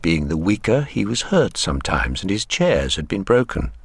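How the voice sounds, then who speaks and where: Male narrator for literary drama, lowish pitch, very close dry sound. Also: big pitch swings, moderate pace, creaky, slightly rough, no room sound.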